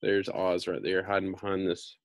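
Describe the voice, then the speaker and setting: A man's voice: low voice, level tone, normal speed, dry recording, clean studio sound. An American man in his thirties reading a book aloud in an informal, slangy way with casual asides. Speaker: read-aloud storyteller.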